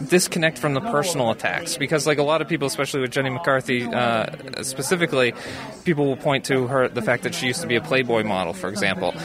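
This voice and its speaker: An American man answering interview questions casually, hesitating and thinking aloud in an easygoing way. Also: medium pitch. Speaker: interview guest, skeptics group founder. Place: busy convention venue. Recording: busy crowd chatter behind.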